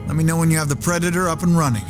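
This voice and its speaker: An actor playing a dry, sardonic, terse wasteland ranger hero in a video game. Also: male voice, on the high side, fairly even intonation, rapid-fire, music in the background, close to an everyday voice. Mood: businesslike. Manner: terse, wry. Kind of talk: video game cutscene dialogue.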